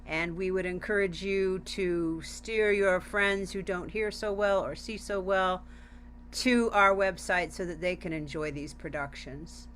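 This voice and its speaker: A woman's voice: lowish voice, steady tone, a little slow, a bit bright. A woman from a theater organization giving informal promotional remarks to an audience over a video call. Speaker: theater center staff member.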